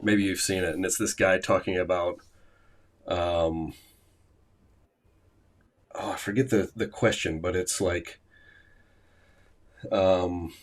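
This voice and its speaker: A man's voice: low voice, fairly even intonation, slow, pauses often. A man hosting a language-learning livestream, chatting casually with many fillers and restarts.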